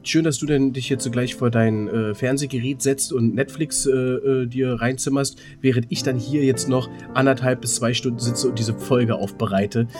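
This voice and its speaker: A man's voice: medium pitch, fairly even intonation, moderate pace, few pauses, over music. A man chatting casually as co-host of a podcast. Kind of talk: casual chat.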